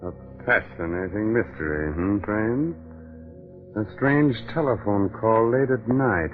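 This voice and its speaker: A man's voice: on the low side, expressive, measured pace. A man acting a teasing, macabre host, narrating theatrically in the style of a 1940s radio mystery. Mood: sly, teasing. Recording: tinny old radio recording. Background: music.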